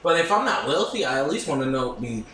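A man's voice: medium pitch, very animated, fast talker, pushed and bright, reverberant, slight background noise, rough recording. A young man co-hosting a podcast, laid-back and dry as he jokes around. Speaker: podcast co-host. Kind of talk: casual chat.

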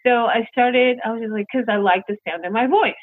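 Halting man on a tinny line. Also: very high-pitched. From United States, childhood in Colombia. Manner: rambling, halting, many fillers. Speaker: podcast host. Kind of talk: casual podcast chat.

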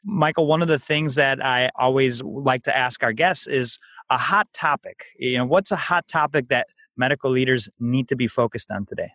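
A man's voice: mid-range voice, fairly bright tone, no echo. A man hosting a health podcast, reading a promo and introducing a guest in an upbeat, promotional way. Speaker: podcast host.

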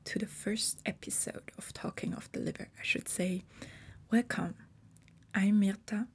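Slow, breathy woman podcaster. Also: mid-range voice, steady tone, darkish tone, whispered. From Switzerland. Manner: hesitant, careful wording with self-corrections. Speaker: podcast host. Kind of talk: solo podcast explainer.

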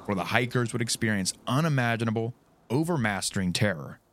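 A man's voice: medium pitch, expressive, brisk, a bit bright, slight background noise. A man co-hosting a casual podcast, joking and swearing, then reading a mystery story in a dramatic tone. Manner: jokey, swears, drops into dramatic narration. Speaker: podcast co-host telling the case. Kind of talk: casual chat, reading a scripted story opening.

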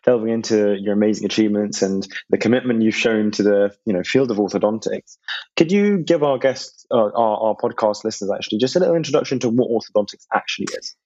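A man's voice: fairly low pitch, fast talker, a little mellow, slightly rough. A man co-hosting a podcast interview, upbeat and chatty as he asks his questions. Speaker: podcast co-host. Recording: dull, muffled.

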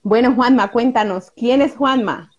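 Female host, a bit high-pitched, conversational interviewing, live chat. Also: unhurried, lo-fi sound.

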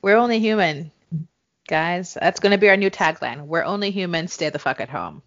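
Low-voiced woman, candid chat. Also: animated, moderate pace, fairly bright tone, no echo, lo-fi sound. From Jamaica. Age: 30s. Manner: candid, laughing, frequent swearing. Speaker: podcast co-host. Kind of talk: casual chat.

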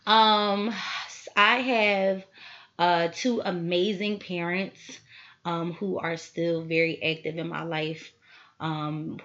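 A woman's voice: deep voice, a bit bright, dull audio. A woman, hosting a casual solo podcast, chatty and full of asides to her listeners. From Philadelphia, USA. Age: 20s. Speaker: podcast host.

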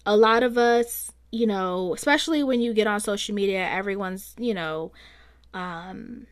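A woman's voice: mid-range voice, moderate pace. A woman hosting a solo podcast, talking through her thoughts in a chatty, reflective way, her voice a bit strained from so much talking. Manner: chatty, reflective, rambling with fillers. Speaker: podcast host. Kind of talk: casual solo podcast monologue.

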